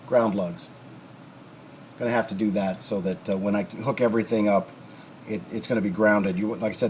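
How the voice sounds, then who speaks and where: Guy with a lowish voice chatting thru a diy fix, folksy n rambly, noisy background. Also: a little mellow. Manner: folksy, rambling, thinking aloud. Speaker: hobbyist restorer demonstrating repairs. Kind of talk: unscripted workshop tutorial, casual chat. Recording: clear background noise, home workshop video.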